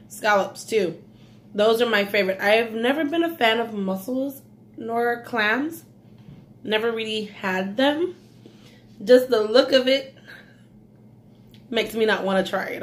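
A woman in her thirties from Belize with a slight Belizean accent, chatting casually in an eating vlog at home, savoring food with frequent mm's. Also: on the high side. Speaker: mukbang vlogger. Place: small indoor room at home.

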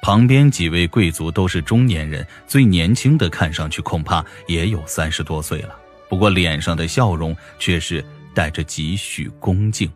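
A man with a deep voice narrates a fantasy novel from a script. He works as an audiobook storyteller, and faint music plays beneath the narration. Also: normal speed.

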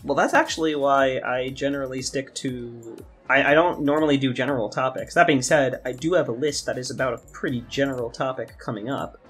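A man's voice: medium pitch, a little fast, hardly any pauses, fairly bright tone. Young man chatting over music. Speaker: podcast co-host, game designer. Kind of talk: casual podcast chat. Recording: music behind the voice. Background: background music.

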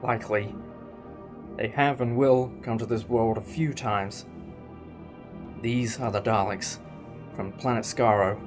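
A man's voice: mid-range voice, fairly even intonation, a little slow, pauses often, music in the background, natural voice. A man playing a wry, inquisitive time-traveller in a sci-fi audio drama, with a sardonic, explanatory manner. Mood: defiant, grave. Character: wry, inquisitive, defiant male time-travelling alien adventurer.

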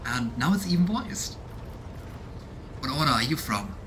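A man's voice: mid-range voice, very animated, a little slow, background noise. A man in his thirties giving casual, curious game commentary and reading game text aloud, musing as he goes. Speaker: let's play streamer. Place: indoors, small room at home.

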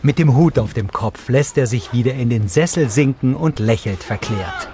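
A man's voice: medium pitch, moderate pace, mellow. Male narrator over music. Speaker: audio description narrator. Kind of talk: scripted narration, audio description of a TV series.

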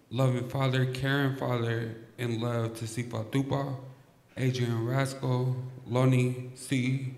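A man reads a memorial tribute in an even, flat voice, moving steadily through a list of names. His voice sits at a middle pitch, and the big banquet hall gives it a long, echoing tail. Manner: steady, measured reading of a list. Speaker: eulogy reader. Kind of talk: read tribute. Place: large banquet hall, indoors.

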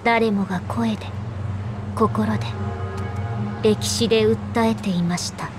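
A woman's voice: mid-range voice, steady tone, slow, close to an everyday voice. A woman voicing a sorrowful, eerily serene young female herald of doom in a game, with solemn, lyrical delivery and music underneath. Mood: solemn, mournful. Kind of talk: scripted dramatic game monologue.

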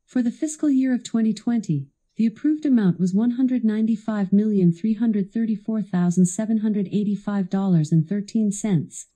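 A woman's voice assistant delivering scripted product demo narration in a polished, instructional, clearly enunciated way. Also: mid-range voice, moderate pace, few pauses, warm tone.

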